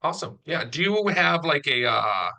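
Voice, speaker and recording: A man's voice: a little high, very animated, normal speed, gravelly, bright, forceful tone. A man chatting casually as he interviews someone, speaking as a writer and producer of audio drama.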